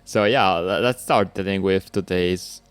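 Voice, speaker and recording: A man's voice: deep voice, unhurried, smooth. Earnest young male podcast host. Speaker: podcast host, PhD student. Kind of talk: scripted podcast intro then conversation.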